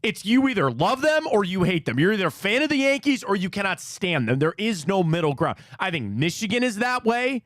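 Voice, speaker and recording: Man, Cleveland Midwest accent, sports host, swooping pitch, dry studio sound, animated. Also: a little fast, few pauses, no room sound. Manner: animated, opinionated rambling with restarts. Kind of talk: casual sports talk chat.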